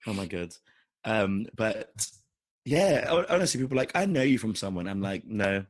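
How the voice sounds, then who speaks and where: A tired British man with a husky voice, co-hosting a podcast in casual, teasing chat. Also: fairly low pitch, big pitch swings, normal speed. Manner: teasing, playful. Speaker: podcast co-host.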